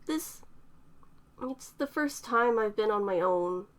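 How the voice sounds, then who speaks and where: A woman's voice: mid-range voice, animated, takes it slowly, voice pushed up. A woman voicing an emotional young woman who is new to her powers, prone to sweary outbursts. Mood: tearful. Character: young woman, newly powered, excitable and tearful.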